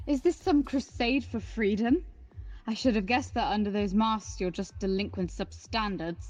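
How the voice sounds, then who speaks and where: A woman's voice: mid-range voice, normal speed, darkish tone, natural voice, low-quality recording. A woman playing a haughty, scornful rich mother in a dystopian audio drama. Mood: angry contempt.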